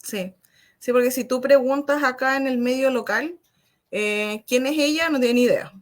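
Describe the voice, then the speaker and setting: A Chilean woman speaking as a guest writer in a live interview, explaining her views in a measured, thoughtful way. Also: high voice, steady tone.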